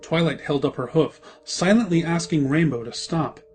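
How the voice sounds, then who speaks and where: Male story narrator over clear music. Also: on the high side, moderate pace, darkish tone.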